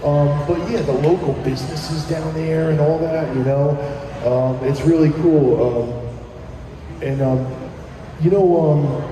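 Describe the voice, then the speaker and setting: A man's voice: a little high, fairly even intonation, unhurried, background noise. A man guesting on a radio show, chatting casually and rambling with many ums and restarts. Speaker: musician guest. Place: live broadcast at a venue. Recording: roomy live venue audio from far off.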